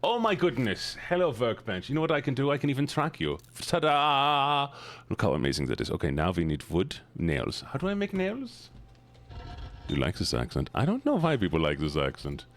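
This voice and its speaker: A man's voice: medium pitch, huge pitch swings, a little fast, pitched above the performer's own voice. A man voicing a playful scientist character, theatrical and repetitive, on a game stream. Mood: showy, playful.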